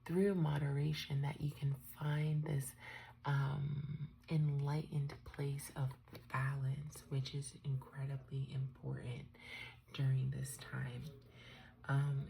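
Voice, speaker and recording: Gravelly, stuffed-up woman reading tarot. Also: low voice, a little hiss or hum. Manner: soft, often half-whispered, musing delivery. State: stuffed up from a cold. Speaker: tarot reader. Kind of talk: unscripted tarot reading for viewers.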